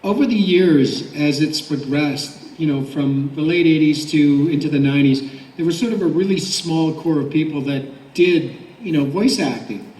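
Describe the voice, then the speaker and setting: A man's voice: a little high, normal speed, darkish tone. Rambling middle-aged man, roomy and distant. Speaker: voice director and actor, panelist. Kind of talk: casual convention panel talk. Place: large indoor convention panel room. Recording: roomy convention hall, distant mic.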